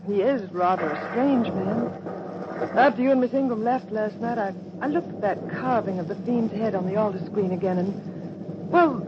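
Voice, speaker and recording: A woman's voice: mid-range voice, moderate pace, lightly acted. An actress playing an anxious, well-bred woman, hesitant and fretful, in 1940s radio drama style. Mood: uneasy. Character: anxious, well-bred woman, generous but easily frightened. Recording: muffled, noisy old radio recording.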